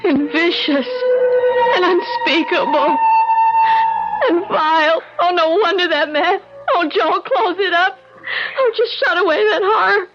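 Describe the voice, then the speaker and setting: An actress playing an anxious, affectionate young wife in an old-time radio drama, with an expressive, emotive delivery. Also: female voice, very high-pitched, measured pace, soft background music. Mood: horrified, distraught. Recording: muffled, hissy old radio drama recording.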